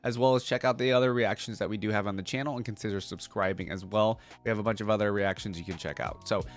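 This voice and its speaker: A man's voice: lowish voice, fairly even intonation, fast. A man hosting a reaction channel, giving casual fan commentary straight to viewers in a conversational way, often checking in with "right?" and "you guys".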